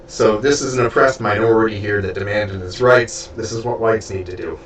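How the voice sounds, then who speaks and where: A man reading a book aloud with spoken asides, stuffy and losing his voice, halting and stumbling over names. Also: lowish voice. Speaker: audiobook reader and streamer. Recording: roomy, distant from mic, faint background hiss.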